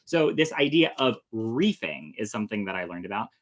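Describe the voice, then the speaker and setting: A man's voice: lowish voice, sing-song, fairly quick. A man hosting a casual storytime, chatty and jokey as he explains things in asides. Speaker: storytelling host.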